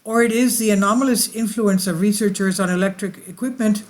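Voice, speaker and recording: A woman's voice: medium pitch, a little fast. A woman hosting her channel, reading an article aloud and often stumbling and correcting herself mid-sentence. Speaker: channel host.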